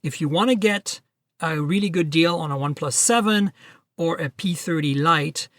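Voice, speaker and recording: A man's voice: a little high, normal speed, fairly bright tone, dry recording. A man hosting a tech podcast, talking in a chatty, upbeat way and running through plugs. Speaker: podcast host. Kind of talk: casual tech podcast chat.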